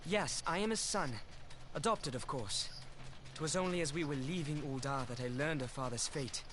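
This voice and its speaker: An actor playing an earnest, dutiful young military officer in a video game, speaking in formal, old-fashioned phrases. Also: male voice, fairly high pitch, noisy background, natural voice. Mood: resolute. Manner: formal, archaic, measured phrasing. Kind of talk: video game cutscene acting.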